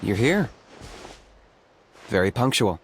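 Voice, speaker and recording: A stoic, deadpan young warrior. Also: male voice, on the low side, noisy background. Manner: deadpan, calm and measured. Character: stoic young male warrior, blunt and practical. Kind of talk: video game voice acting.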